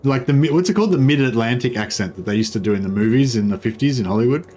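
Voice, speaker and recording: Aussie guy rambling on his game stream, middle pitch, chatty. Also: expressive, very fast, a little mellow. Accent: Australian. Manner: rambling, chatty, self-correcting. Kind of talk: casual gameplay commentary.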